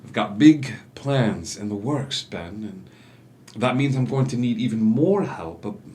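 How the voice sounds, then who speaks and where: An actor playing a charming, calculating young doctor and swindler in a musical theatre table read, smooth and falsely modest. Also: male voice, on the low side, animated, unhurried, mellow. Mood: brisk, eager.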